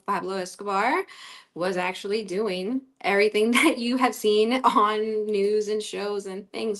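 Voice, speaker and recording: A woman's voice: mid-range voice, a bit bright. Earnest Colombian woman telling her story. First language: Spanish. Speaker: interview guest. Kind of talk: conversational personal storytelling.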